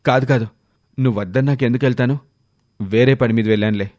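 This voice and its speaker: A man voicing a kindly, guilt-ridden uncle and henpecked husband in an animated moral story. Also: medium pitch, fast talker, natural voice. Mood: evasive, irritated.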